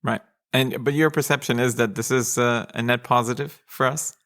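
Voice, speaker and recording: A man's voice: mid-range voice, fairly even intonation, normal speed, a bit bright. A man from Germany with an American accent, co-hosting and explaining things casually for the listeners. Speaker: podcast co-host.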